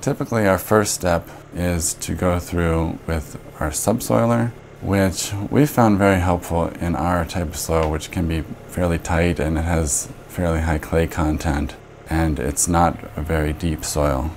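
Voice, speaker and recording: A man's voice: deep voice, fairly even intonation, measured pace, a little mellow, a little hiss or hum. A young American man, a farmer, explaining soil preparation in a matter-of-fact, methodical way in a documentary interview recorded indoors on a lapel mic. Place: living room, indoors.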